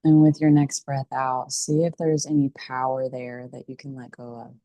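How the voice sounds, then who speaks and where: A woman teaching a guided meditation in a calm, soothing, unhurried way with pauses between phrases. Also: extremely low pitch, dry recording.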